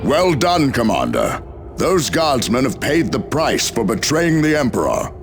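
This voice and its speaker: Authoritative space marine captain, video game. Grave, formal, mid pitch with strong inflection. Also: male voice, very animated, music in the background. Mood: grave, commanding. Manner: stern, formal, deliberate briefing. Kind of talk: video game cutscene voice acting.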